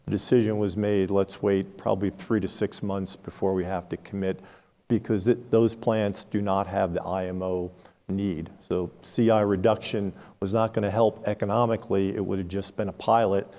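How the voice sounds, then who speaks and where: A low, gravelly man speaks as a panelist, frank and measured, walking through examples. His tone is dark and mellow. The sound carries the long reverb of a conference hall. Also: level tone. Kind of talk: unscripted panel discussion.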